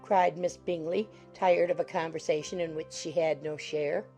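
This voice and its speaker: A woman's voice: low-pitched, fairly even intonation, moderate pace, warm tone. A woman narrating a scripted, dramatized audiobook of a classic novel. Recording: music bed under the voice.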